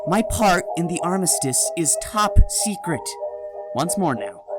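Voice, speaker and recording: Brief: a man as a secretive bellboy, whispered, drawn-out, over loud music. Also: high voice, sing-song. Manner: hushed conspiratorial whispering. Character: jumpy secretive young male bellboy.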